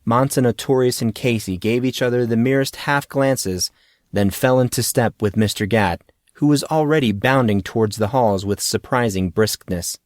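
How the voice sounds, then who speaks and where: A man's voice: medium pitch, normal speed, dry recording, studio quality. A man reading fiction aloud as a scripted audiobook narrator.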